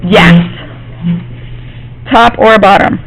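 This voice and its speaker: A woman art teacher, slightly hoarse from losing her voice, gives a classroom demonstration and often checks in with her students. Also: mid-range voice, drawn-out words, a little hiss or hum, rough recording. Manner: reassuring, checks in with "okay?".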